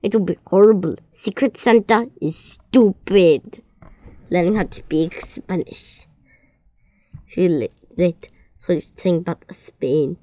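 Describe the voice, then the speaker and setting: A woman reading a children's story aloud, expressive and often stumbling and repeating words. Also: lowish voice, takes it slowly, no room sound, lo-fi sound.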